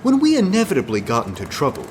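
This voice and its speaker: A flighty, vain male agent in an audio comedy. He puts on mock-zen calm with extremely animated, theatrical intonation. There is faint noise behind him. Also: fairly high pitch, fast talker. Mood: smug serenity. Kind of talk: audio comedy drama acting.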